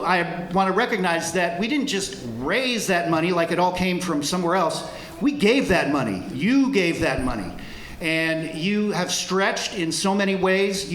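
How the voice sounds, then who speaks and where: A man's voice: sits high, a bit bright, slight background noise. A middle-aged man speaking live to a concert audience as a volunteer, earnest and grateful, rallying the crowd with plenty of fillers. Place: large room with live audience. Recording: echoey room, crowd murmur.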